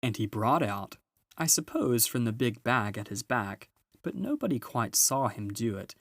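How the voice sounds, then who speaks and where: A man's voice: on the low side, dry recording, studio quality. A man reading a children's novel aloud as scripted narration. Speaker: storybook reader.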